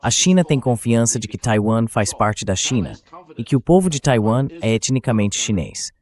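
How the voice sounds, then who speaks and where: A man's voice: medium pitch, very animated, moderate pace, darkish tone. A man with a Brazilian accent speaking as a dubbed interview guest, giving political commentary in an emphatic, didactic manner.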